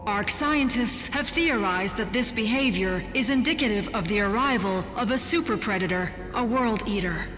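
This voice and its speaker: An actress playing a resistance emergency broadcast announcer in a video game, formal and official in delivery. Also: female voice, medium pitch, normal speed, few pauses, close to an everyday voice. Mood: official, warning. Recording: long echoing public-address reverb, music behind.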